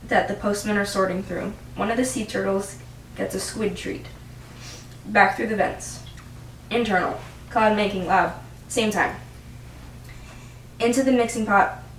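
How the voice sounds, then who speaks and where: A young adult woman reading stage directions as the narrator of an amateur script read. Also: mid-range voice. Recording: roomy, distant mic, faint noise.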